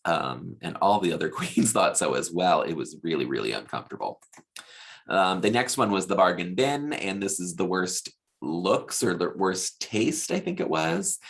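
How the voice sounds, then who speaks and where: A man's voice: deep voice, vocal fry, a bit bright. A man giving a chatty, opinionated recap as a host, with a casual, gossipy way of talking. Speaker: podcast host reviewing a TV show.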